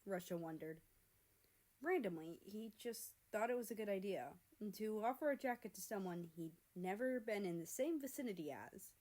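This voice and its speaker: A woman reading fiction aloud as a narrator, in an expressive scripted storytelling style. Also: medium pitch, moderate pace. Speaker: fan fiction reader and narrator.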